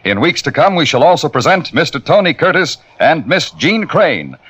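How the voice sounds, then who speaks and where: A male announcer giving a formal, measured broadcast announcement of credits and next week's program. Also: fairly high pitch, very animated, very noisy. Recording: lo-fi old radio with loud music.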